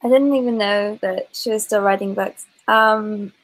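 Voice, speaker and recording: A woman's voice: mid-range voice, steady tone, moderate pace, low-quality recording. A woman answering as a quiz contestant, casually and hesitantly offering guesses. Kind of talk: casual chat, tentative guessing.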